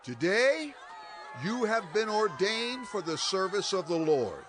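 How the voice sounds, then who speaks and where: A man's voice: high voice, sing-song, slow pace, a crowd in the background, exaggerated voice. A man playing an impassioned, stern prophet and leader, declaiming dramatically in a dramatized audio Bible. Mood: stern, solemn. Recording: faint music behind the voice.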